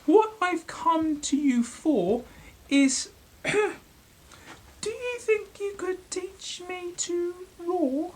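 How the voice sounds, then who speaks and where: A man voicing a tiny, meek but plucky storybook mouse, polite and eager, with a squeaky voice. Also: lively intonation, extremely slow, slight background noise, full character voice. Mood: timid, polite. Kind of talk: children's storybook character voice.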